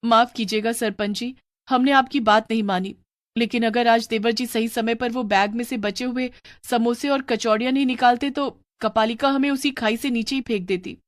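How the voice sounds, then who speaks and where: A woman playing a bold, quick-witted young village sister-in-law in an expressive, melodramatic story style. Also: fairly high pitch, dry recording, clean studio sound, lightly acted. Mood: earnest, resolute.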